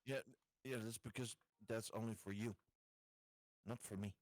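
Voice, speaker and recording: A man casually explaining software settings to fellow tabletop gamers. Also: lowish voice, steady tone, normal speed, no room sound. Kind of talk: casual step-by-step software help.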